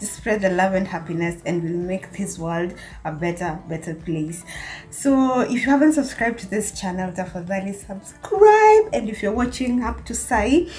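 A woman's voice: mid-range voice, expressive, normal speed, darkish tone, faint music behind. A woman in her twenties with a Kenyan accent, chatting casually and playfully to a handheld camera in her small apartment. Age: 20s. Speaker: lifestyle vlogger. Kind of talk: casual vlog chat, mixing English with Swahili. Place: small tiled studio apartment. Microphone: phone or camera at arm's length.

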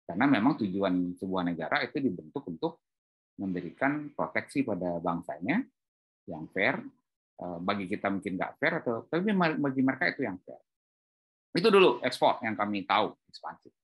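A middle-aged man giving an informal, animated explanatory talk on a video call, telling stories and acting out dialogue. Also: lowish voice, normal speed, breathy edge, dull audio. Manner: animated storytelling, acts out dialogue. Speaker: speaker at an export training webinar. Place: indoor room on a group video call.

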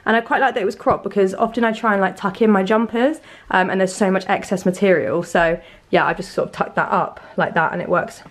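A woman's voice: medium pitch, steady tone, fairly quick. A young woman in her twenties vlogging casually at home, chatting with lots of fillers and a slightly sore throat from a cold. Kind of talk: casual chat to camera. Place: bedroom at home, indoors. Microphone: handheld camera, arm's length or mirror.

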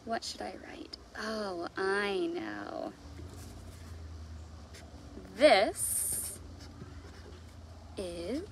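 A woman in her thirties, a children's librarian, reading aloud and chatting to young children in an animated sing-song way, recorded outdoors at arm's length from the device. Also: medium pitch, very slow, long pauses. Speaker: children's librarian hosting storytime. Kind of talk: read-aloud and chat addressed to young children. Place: outdoors in a leafy backyard. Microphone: device mic at arm's length. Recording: outdoor background noise.

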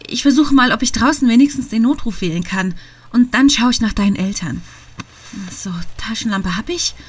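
Irritable woman driver, higher-pitched voice. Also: lively intonation, normal speed. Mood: concerned, hurried. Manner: sarcastic, exasperated. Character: irritable, sarcastic woman driver caught in horror. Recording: clear rain and car noise behind.

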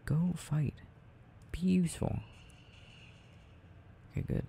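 A man murmuring haltingly to himself in an ASMR style as he rambles while playing a video game. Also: fairly high pitch, steady tone, vocal fry, mellow, slight background noise. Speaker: audio performer playing a boyfriend gaming.

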